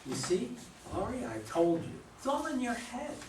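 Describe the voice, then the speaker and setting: A man's voice: very high voice, sing-song, normal speed. A man playing a dismissive, sarcastic husband who belittles his wife in a staged play reading. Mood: mocking. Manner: mocking, belittling. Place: room at a live readers' theater reading. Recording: echoey room, distant mic, faint noise.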